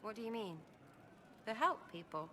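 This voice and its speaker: A woman's voice: fairly high pitch, lively intonation, moderate pace, pushed and bright, big-room sound, a little hiss or hum. An actress playing a blunt, wary, irreverent young woman in a video game, with choppy, slangy phrasing. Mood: guarded, conflicted. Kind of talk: video game dialogue acting.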